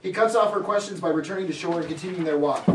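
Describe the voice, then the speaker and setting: A man's voice: high voice, quick pace, background noise. A man narrating stage directions in a comic dramatic script reading. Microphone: camera microphone from a distance. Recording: echoey room, distant mic, crowd chatter.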